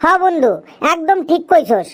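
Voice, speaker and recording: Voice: extremely high pitch, sing-song, normal speed, noisy background. A performer voicing a talkative, earnest young cartoon friend in an eastern Bengali dialect, speaking in an emphatic, colloquial, preachy way. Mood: indignant, scolding. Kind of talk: cartoon dialogue with moral advice.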